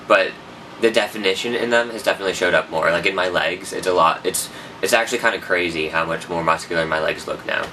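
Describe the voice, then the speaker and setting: A man's voice: low-pitched, bright, forceful tone, distant mic, slight background noise, rough recording. A young man rambling through a casual personal update, often correcting himself. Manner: rambling, self-correcting, chatty. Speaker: vlogger.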